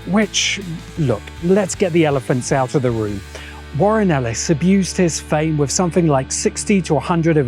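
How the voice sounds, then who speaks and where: A man narrating a scripted video essay in an emphatic, rhetorical way, with dramatic pauses. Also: a little high, a little mellow. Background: background music.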